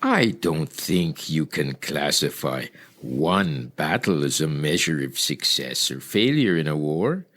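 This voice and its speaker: A man playing a smug, pragmatic high priest with dismissive, deliberate delivery in old-time radio style. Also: very animated, a bit bright, exaggerated voice.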